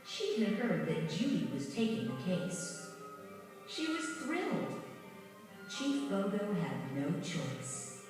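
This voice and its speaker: A woman reading a scripted children's story in a measured, dramatic storytelling manner. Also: medium pitch, huge pitch swings. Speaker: storybook narrator. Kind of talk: scripted children's read-along narration. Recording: very echoey, distant, music behind. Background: music.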